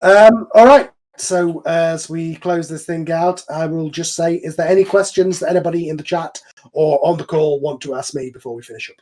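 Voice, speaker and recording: A man's voice: on the high side, normal speed, a little mellow. A man hosting a casual group chat online, talking informally and with enthusiasm. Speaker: host and series creator. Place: remote online voice call.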